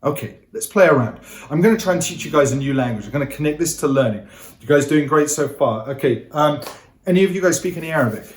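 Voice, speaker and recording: A man's voice: a little high, lively intonation, fast talker. Upbeat man teaching in a roomy space. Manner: upbeat, coaxing, addresses viewers directly. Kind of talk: energetic interactive lesson to camera. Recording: roomy echo.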